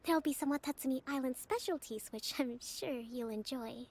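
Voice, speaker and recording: Young game heroine-leader, high, polite, gently wistful delivery. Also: female voice, normal speed. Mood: friendly. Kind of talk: video game character acting.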